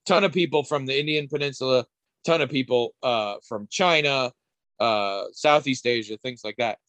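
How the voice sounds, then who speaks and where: Candid rambling podcast host, dry sound. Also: male voice, medium pitch, normal speed, fairly bright tone, no room sound. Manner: rambling, candid, swears casually. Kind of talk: casual chat.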